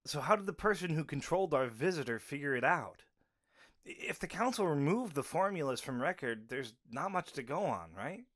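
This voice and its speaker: A man's voice: a little high, expressive, a little fast, fairly bright tone, no room sound, clean studio sound. A man playing an easygoing, wry young werewolf in an audio drama, with a casual, conversational delivery. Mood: questioning. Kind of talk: audio roleplay drama acting.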